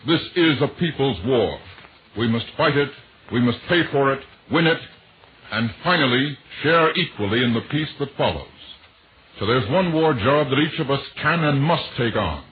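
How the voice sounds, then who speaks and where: A man's voice: medium pitch, animated, moderate pace. A man making an earnest, emphatic scripted appeal in a 1940s radio style. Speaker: radio announcer making a war bond appeal. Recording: tinny, noisy vintage 1940s radio.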